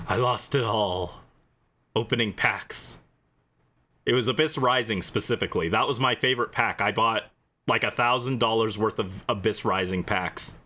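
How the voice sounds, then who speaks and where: A man's voice: medium pitch, bright, forceful tone. A man hosting a gaming livestream, chatting casually and playfully as he responds to his viewers. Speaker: livestream host.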